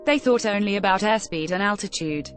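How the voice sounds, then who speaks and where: A woman's voice: mid-range voice, fairly quick. A woman reading a scripted news report aloud as narrator. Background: background music.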